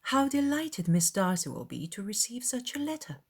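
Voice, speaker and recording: A woman's voice: on the high side, sing-song, normal speed, slightly rough, pitched above the performer's own voice, exaggerated voice. Woman playing a gushing, affected society lady. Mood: gushing, flattering. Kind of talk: dramatized period audiobook.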